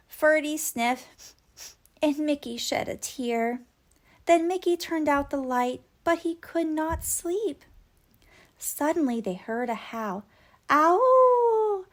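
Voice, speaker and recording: Woman, storybook reader, higher-pitched, animated, unhurried, expressive children's narration.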